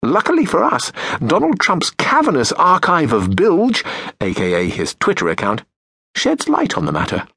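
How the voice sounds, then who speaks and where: A British man reads a comic audiobook with sardonic, profane deadpan timing. His voice is a little husky and sits slightly high for a man. His pitch swings widely, and the close mic gives a dry, dead studio sound. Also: normal speed, no room sound. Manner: lively, wide-ranging pitch. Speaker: audiobook narrator. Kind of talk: scripted comic narration.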